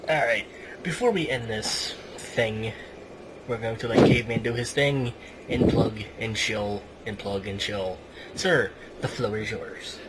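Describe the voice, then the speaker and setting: A man's voice: on the low side, measured pace, a crowd in the background. A man hosting a casual, comedic podcast, chatting informally and moving the show along. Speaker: podcast host. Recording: noisy podcast line with static.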